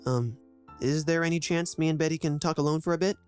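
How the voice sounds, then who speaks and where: A woman's voice: low voice, quick pace, darkish tone, faint music behind, close to an everyday voice. A woman playing a frank, protective crewmate in a sci-fi audio drama, with a direct manner. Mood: earnest. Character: frank, protective female friend aboard a warship.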